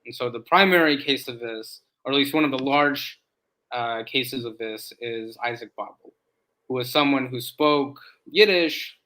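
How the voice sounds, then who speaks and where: Bright-voiced man explaining at measured pace. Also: medium pitch, low-quality recording. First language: English. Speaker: guest, academic in Slavic studies. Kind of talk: casual interview chat.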